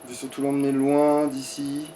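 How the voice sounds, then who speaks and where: A man's voice: mid-range voice, monotone, normal speed, warm tone. A man chatting casually and crudely as he plays through a game, often muttering. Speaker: gamer playing and commenting. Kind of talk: casual let's play chat. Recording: distant mic with background noise.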